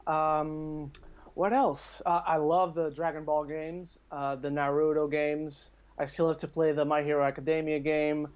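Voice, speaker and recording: Casting: male podcast talker, fairly high voice, rambling and self-correcting, casual delivery, slightly muffled lo-fi recording. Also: flat delivery, measured pace, clear, resonant tone. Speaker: podcast speaker. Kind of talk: casual podcast chat about games and films.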